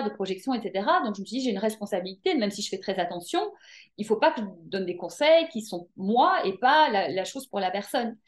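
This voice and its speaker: Woman, guest coach; lively, emphatic, casual with hesitant fillers. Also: medium pitch. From Belgium. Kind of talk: casual interview chat.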